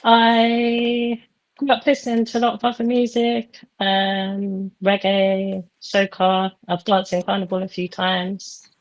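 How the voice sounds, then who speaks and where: A woman's voice: mid-range voice, level tone, takes it slowly, no echo. A woman talking casually as a guest on a panel show, through a slightly muffled microphone. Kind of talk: casual self-introduction.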